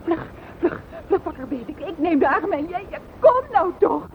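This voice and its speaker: An actress playing an anxious, self-justifying accomplice, pleading and defensive, in a Dutch radio play. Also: female voice, expressive, moderate pace. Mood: urgent, pleading. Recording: muffled phone-like old radio recording, faint rumble.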